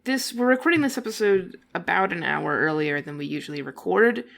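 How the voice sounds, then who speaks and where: A woman's voice: lowish voice, lively intonation, a little fast, gravelly, fairly bright tone. A woman co-hosting a podcast in casual chat, rambling and giggling often, coming down with a cold. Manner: giggly, rambling with many fillers. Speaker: podcast co-host.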